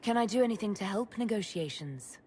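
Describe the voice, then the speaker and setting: An actress playing a calm, supportive woman who leads a fantasy order in a video game, speaking gently and plainly. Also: mid-range voice, a little fast, slightly rough. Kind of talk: video game cutscene acting. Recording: game capture with background ambience noise.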